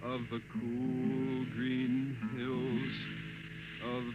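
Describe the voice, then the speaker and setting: A man singing a ballad with drawn-out phrasing. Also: medium pitch, monotone, loud music behind the voice. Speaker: singer. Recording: muffled old radio broadcast.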